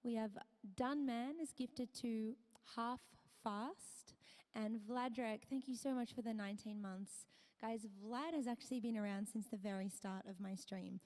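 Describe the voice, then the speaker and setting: A woman streamer chatting casually with her viewers, her voice hoarse and tired, half whispering. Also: a little high, unhurried, darkish tone, in a large hall. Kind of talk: casual live-stream chat.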